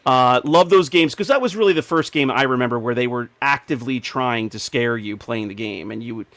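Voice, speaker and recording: A man in his forties with a mid-pitched voice chats as a podcast host. He reminisces in an informal, conversational way, recorded dry and close. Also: fairly quick, fairly bright tone, dry recording. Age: 40s. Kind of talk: casual group chat.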